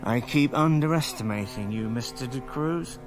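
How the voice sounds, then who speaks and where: An actor playing an educated, haughty criminal mastermind in a radio drama, speaking in a formal, theatrical, articulate way. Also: male voice, medium pitch, normal speed, over music, natural voice. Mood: wary, resigned. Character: educated, haughty criminal mastermind nicknamed Professor, wary and verbose.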